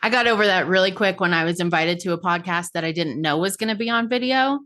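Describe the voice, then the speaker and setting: A woman's voice: lowish voice, fast, pushed and bright. A woman hosting a podcast, talking in an upbeat, chatty way and often saying "like.". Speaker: podcast host. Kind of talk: casual interview chat.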